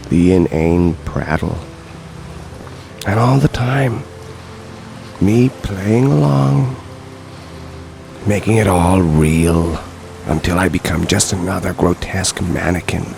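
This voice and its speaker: An actor in an audio drama playing a weary, bitter, self-loathing man, brooding aloud in deliberate, eloquent phrases. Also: low voice, creaky, heavily acted.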